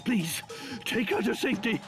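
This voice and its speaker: A man's voice: high-pitched, expressive, moderate pace. A man voicing a proud, fussy old king with a British accent in a video game. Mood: pleading, proud. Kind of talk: theatrical video game acting. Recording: music under the dialogue.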